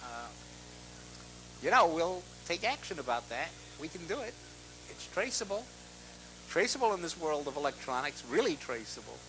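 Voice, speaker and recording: A man with a bright, fairly high voice hosts a live call-in program. He sounds gruff and exasperated, brushing callers off curtly. The recording is noisy public-access TV audio with an audible buzz. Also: big pitch swings, moderate pace, long pauses. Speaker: host of a call-in show.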